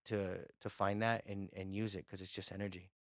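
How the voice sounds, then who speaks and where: A man's voice: low-pitched, flat delivery, brisk, dry recording, studio quality. A man hosting a podcast interview, chatting in a laid-back way with casual slang. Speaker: podcast host.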